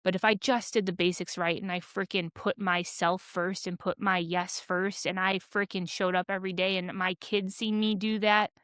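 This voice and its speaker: A podcast co-host, she rants with fired-up energy, rambling and restarting as she talks. Her voice sits at an ordinary pitch and sounds close and dry, with no room around it. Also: flat delivery, normal speed, few pauses, fairly bright tone, smooth, no echo. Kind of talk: candid casual chat.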